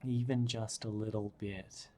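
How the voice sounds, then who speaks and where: A man guiding a breathing meditation, speaking softly and soothingly with long pauses. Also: on the low side, steady tone, a little hiss or hum. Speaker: meditation guide.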